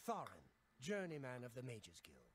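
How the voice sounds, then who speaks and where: A man's voice: mid-range voice, huge pitch swings, moderate pace, crowd noise. A man voicing a friendly male mage merchant in a video game, giving polite shopkeeper greetings. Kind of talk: video game character dialogue.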